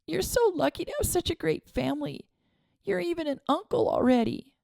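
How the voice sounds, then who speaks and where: A woman's voice: high voice, big pitch swings, brisk, gravelly, darkish tone, no echo, clean studio sound, voice pushed up, heavily acted. A woman voicing a grieving young girl who stammers and whimpers through tears. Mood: tearful.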